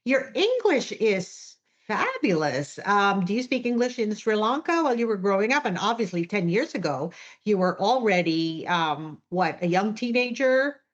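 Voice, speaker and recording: A woman's voice: mid-range voice, sing-song, moderate pace, lo-fi sound. A woman hosting an interview over a video call, enthusiastic and affirming toward her guest. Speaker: podcast host.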